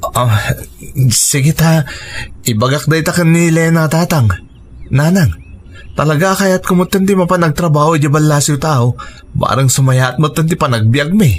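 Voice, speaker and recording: A man's voice: a little high, unhurried, pauses often, close to an everyday voice. Man acting an earnest young husband, faint noise. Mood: surprised, hesitant. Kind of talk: Ilocano radio drama acting.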